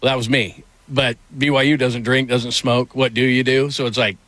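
A man's voice: medium pitch, level tone, normal speed, fairly bright tone, no echo, rough recording. A middle-aged man hosting a casual morning radio show, telling rambling self-deprecating stories full of restarts.

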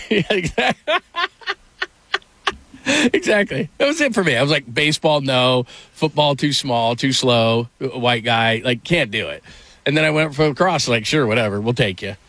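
A man's voice: mid-range voice, animated, moderate pace, fairly bright tone, no echo. A middle-aged man hosting a casual morning radio show, telling rambling self-deprecating stories full of restarts.

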